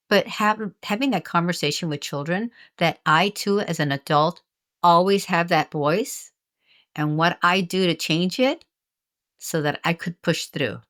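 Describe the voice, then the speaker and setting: A woman's voice: on the low side, a bit bright. An older woman from the United States, a retired teacher, speaks earnestly and reflectively in an interview, often restarting her phrases. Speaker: guest, author and retired teacher. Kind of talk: candid interview conversation.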